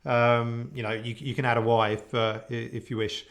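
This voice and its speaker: A man asking casual interview questions over a video call, in halting phrases broken up by many fillers. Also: mid-range voice, level tone, gravelly, a bit bright. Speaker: interviewer, conference organiser.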